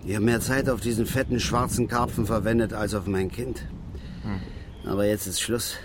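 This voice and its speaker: An actor playing a middle-aged angler in a radio drama, bitter, opinionated and self-pitying, with a grumbling, rambling, sardonic and colloquial delivery. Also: male voice, on the low side, fairly even intonation, normal speed, background noise, close to an everyday voice. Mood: brooding, matter-of-fact. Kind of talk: naturalistic German radio drama acting.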